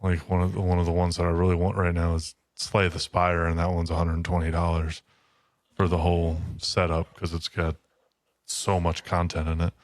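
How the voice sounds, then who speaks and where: A man's voice: low-pitched, monotone, normal speed, no room sound. A man chatting casually as a podcast co-host in a blunt, matter-of-fact way. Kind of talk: casual chat.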